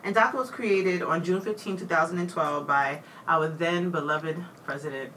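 Woman cohost reading off facts, matter-of-fact, lowish voice, kinda far from the mic. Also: normal speed, a bit bright, slight background noise. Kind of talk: casual chat, reading out facts and figures. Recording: distant mic with faint room ambience.

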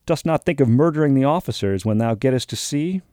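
A man's voice: medium pitch, animated, brisk, warm tone, dry recording, studio quality, voice pushed up, close to an everyday voice. A man playing a blustering old sea captain. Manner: blustering, archaic speech, challenging questions. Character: gruff suspicious old male sea captain.

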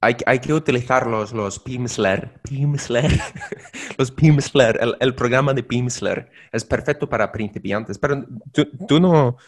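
A man's voice: medium pitch, measured pace. A man co-hosting a casual language-learning podcast, playful, mixing slang and restarting phrases. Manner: playful, uses Spain and Mexico slang, frequent restarts. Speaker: podcast co-host. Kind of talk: casual chat.

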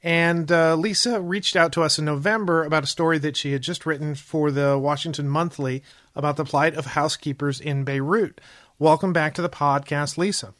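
A man's voice: fairly high pitch, steady tone, normal speed, fairly bright tone, dry recording, studio quality. A man hosting a journalism podcast, asking rambling, hesitant questions full of fillers. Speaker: podcast host. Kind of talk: podcast interview.

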